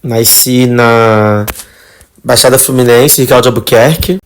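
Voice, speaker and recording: A man in his thirties with a Carioca accent, telling his life story as a podcast guest in a relaxed, conversational way. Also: medium pitch, unhurried. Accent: Carioca Portuguese. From Rio de Janeiro, Brazil. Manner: relaxed, conversational, with fillers and trailing phrases. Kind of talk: casual personal storytelling.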